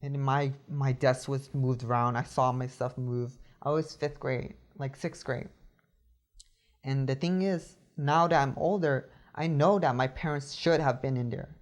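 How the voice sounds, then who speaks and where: A man's voice: medium pitch, measured pace. A young man giving an earnest, reflective account, thinking aloud and often adding "you know". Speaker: interviewee.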